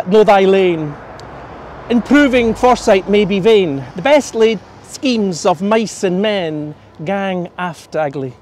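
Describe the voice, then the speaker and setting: A middle-aged Scottish tour guide with a fairly high voice speaks outdoors in a snowy city park. He tells stories and reads verse aloud from a folder. A camera picks him up from some distance. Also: a little mellow. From Scotland. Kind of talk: guided tour talk with poetry reading.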